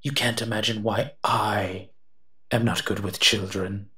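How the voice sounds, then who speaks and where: Monster companion played by a man: lowish, forceful voice, sardonic and menacing yet protective, for audio roleplay. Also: little pitch movement, unhurried. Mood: sarcastic, teasing. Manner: teasing, sardonic, muttering asides. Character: eight-foot male smoke monster, sardonic, menacing, protective. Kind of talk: scripted roleplay audio drama.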